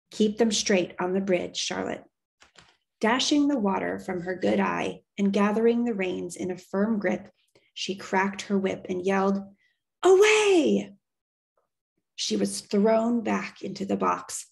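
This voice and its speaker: A woman reading a children's novel aloud as an expressive storyteller. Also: medium pitch, gravelly.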